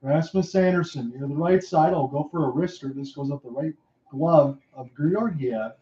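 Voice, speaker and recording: Excitable hockey play-by-play man, roomy sound. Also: on the high side. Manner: rapid excited calls that rise sharply on chances. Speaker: play-by-play announcer. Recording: dull lo-fi roomy home mic.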